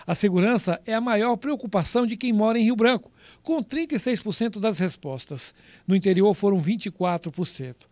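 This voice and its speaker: A male TV news reporter reading a survey report in a measured voice-over, with a Brazilian Portuguese accent. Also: high voice, animated, a little mellow, no room sound. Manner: measured, reads out figures crisply.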